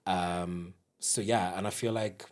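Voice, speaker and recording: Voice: low-pitched, steady tone, takes it slowly. A podcast guest with a South African accent, chatting casually and conversationally in an interview.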